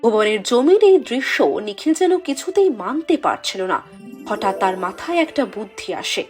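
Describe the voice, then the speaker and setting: Woman narrator for a cartoon fairy tale, high voice, lively swooping delivery. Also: moderate pace, soft background music. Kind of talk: scripted storybook narration.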